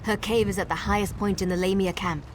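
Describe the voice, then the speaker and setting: A woman playing a pragmatic, secretive female wood elf who gives measured instructions as quest dialogue in a video game. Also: mid-range voice, fairly even intonation, lightly acted. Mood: resolute, matter-of-fact. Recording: game dialogue over clear background noise.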